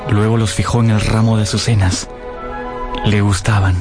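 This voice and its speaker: A man acting a lovestruck young man in a radio drama, with a lowish voice set over clear background music. He narrates in an earnest, wistful, literary way, full of melancholy longing. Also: flat delivery, moderate pace, close to an everyday voice. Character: lovestruck, melancholy young man recalling his first love.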